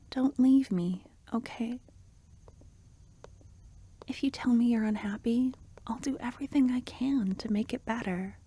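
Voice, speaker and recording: A woman voicing an affectionate young female court necromancer in a soft, half-whispered, tender and intimate fantasy ASMR roleplay. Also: on the high side, slightly rough, full character voice. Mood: pleading, tender.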